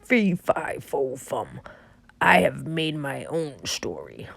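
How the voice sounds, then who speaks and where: A woman reading aloud and voicing a big, slow, grumpy male giant in a low, ponderous voice. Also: big pitch swings, vocal fry, a bit bright, slightly rough, no room sound, exaggerated voice.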